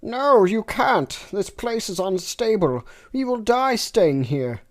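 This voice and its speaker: A woman's voice: mid-range voice, lively intonation, slow, pitched above the performer's own voice. A woman voicing a stern but protective male wizard teacher who speaks earnestly and dramatically.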